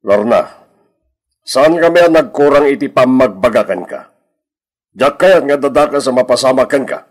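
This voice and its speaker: A man's voice: mid-range voice, animated, normal speed, natural voice. An actor playing a stern, concerned father in an Ilocano radio drama. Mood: stern, indignant. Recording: old radio recording, faint music.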